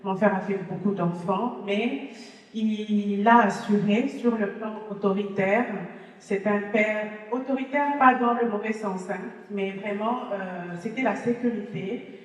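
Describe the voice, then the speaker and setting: Woman, slow, hesitant testimony, heard from far off in a reverberant church hall. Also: medium pitch, steady tone. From Congo. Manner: halting, many hesitations. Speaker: testimony speaker. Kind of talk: personal testimony.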